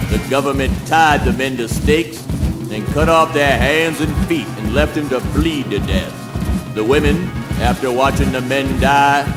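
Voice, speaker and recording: A man playing a grim, mock-solemn narrator of gruesome history in a spoken word play, theatrical and deliberate. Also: mid-range voice, lightly acted. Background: loud music.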